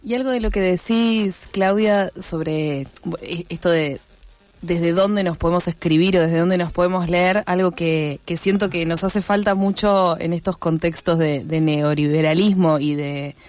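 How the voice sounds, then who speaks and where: A woman's voice: fairly low pitch, fairly even intonation, a little slow, darkish tone, dry recording. A woman, co-hosting live radio, speaking thoughtfully in long winding sentences.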